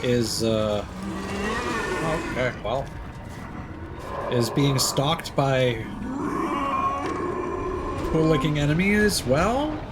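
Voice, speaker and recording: A man's voice: mid-range voice, expressive, drawn-out words, long pauses, very noisy. A man doing live gameplay commentary as a streamer, in a wry, sardonic manner. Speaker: game streamer. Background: video game sound.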